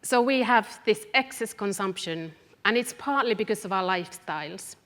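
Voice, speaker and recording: A woman from Finland with a Finnish accent gives a deliberate public talk as a researcher, addressing her listeners directly and stressing key phrases. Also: medium pitch, lively intonation, fairly bright tone. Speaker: academic researcher, public speaker. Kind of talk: public talk to a live audience. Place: event hall, live talk. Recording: echoey hall with long reverb.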